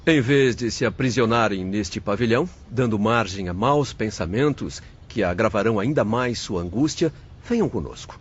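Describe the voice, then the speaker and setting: A man's voice: lowish voice, lively intonation, normal speed, few pauses, husky, lo-fi sound. A man playing a kindly, encouraging young spirit doctor in an audiobook drama, exhorting in formal speech. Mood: gently encouraging.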